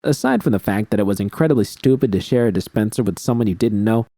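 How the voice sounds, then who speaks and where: Low, mellow man narrating fiction. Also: expressive, quick pace, no room sound, studio quality. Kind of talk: scripted first-person fiction narration.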